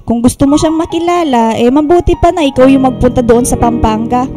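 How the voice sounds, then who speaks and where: A woman's voice: high voice, a little fast, close to an everyday voice. A woman playing a teasing, protective middle-aged mother in a Tagalog radio drama. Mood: gently firm. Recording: music bed underneath.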